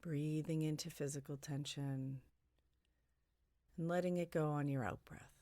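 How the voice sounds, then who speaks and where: A woman leading a guided meditation in a soothing, gently suggestive way, her phrases broken by long pauses. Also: sits low, steady tone, no echo.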